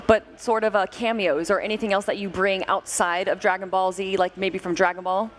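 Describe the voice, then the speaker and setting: A woman's voice: mid-range voice, little pitch movement, brisk. A woman interviewing game makers, asking follow-up questions in a casual, chatty way.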